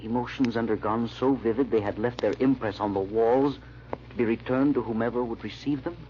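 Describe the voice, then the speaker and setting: An earnest gentleman sleuth, played by a radio actor, speaks with brooding, hesitant drama. His male voice sits at a middle pitch on a muffled vintage recording with steady noise. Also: fairly quick, lightly acted. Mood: haunted, brooding. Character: haunted, earnest gentleman amateur sleuth narrating his search. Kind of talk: first-person old-time radio drama narration.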